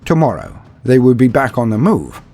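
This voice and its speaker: A man's voice: medium pitch, huge pitch swings, moderate pace, creaky, warm tone, soft background music. A man narrating a comedic fantasy story in a mock-epic, dramatic storytelling manner.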